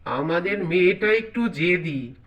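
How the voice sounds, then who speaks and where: A man voicing a gentle, pleading elderly father in a cartoon, speaking in a drawn-out, placating way. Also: sits high, expressive, lo-fi sound. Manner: slow, drawn-out, placating speech. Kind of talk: cartoon voice acting.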